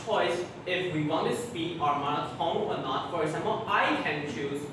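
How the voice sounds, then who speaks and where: Halting debater in an echoey room. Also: male voice, a little high. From Taiwan. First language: Chinese. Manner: halting, searching for words, frequent restarts. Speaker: student debater. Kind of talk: debate crossfire. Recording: very echoey room, distant mic, background noise.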